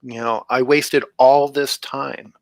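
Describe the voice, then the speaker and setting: Guy author on a podcast, average pitch, sincere, repeats stuff for emphasis, close dry sound. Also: little pitch movement, slow, creaky, no room sound. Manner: earnest, emphatic repetition. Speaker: guest author. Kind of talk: casual interview chat.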